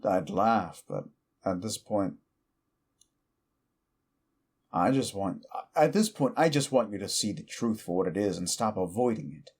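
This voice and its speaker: A man voicing an ancient, petty male demon who mocks a mortal in a slow, deep drawl, in audio roleplay. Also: lively intonation, a little mellow. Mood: scornful.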